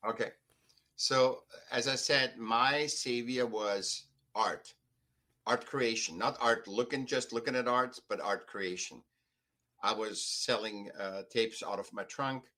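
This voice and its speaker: An older man from Austria with an Austrian accent, telling his own story conversationally as a podcast guest in a halting, emphatic way. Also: medium pitch, pushed and bright. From Vienna, Austria. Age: 50s or older. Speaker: podcast guest, artist and author.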